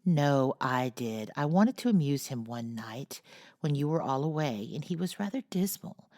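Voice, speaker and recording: A woman's voice: extremely low pitch, animated, normal speed, no echo, clean studio sound. A woman reading as a shy meek teenage girl. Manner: meek, soft, apologetic. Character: shy gentle teenage girl, sweet and timid.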